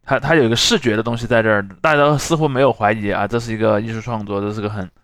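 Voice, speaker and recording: A man's voice: on the low side, steady tone, very fast, a bit bright, dry recording, clean studio sound. A man co-hosting a casual podcast discussion, reflective, often rephrasing his thoughts. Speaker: podcast host.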